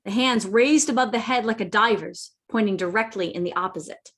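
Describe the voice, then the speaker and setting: A woman serves as the narrator of a radio adventure play. She reads formal storybook prose earnestly, in a mid-pitched voice. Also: brisk, fairly bright tone.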